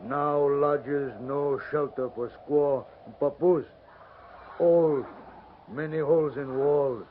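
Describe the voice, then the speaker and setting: Slow, grave chief in halting English. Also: male voice, a little high, fairly even intonation. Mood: solemn, aggrieved. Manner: halting, broken stage English, solemn. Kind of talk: 1950s radio acting. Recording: muffled, noisy 1950s radio transcription.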